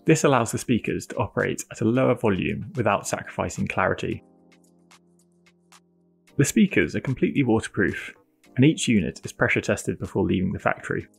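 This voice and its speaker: A man giving a scripted product narration in a measured, explanatory way. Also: lowish voice, expressive, vocal fry, slightly rough, faint music behind.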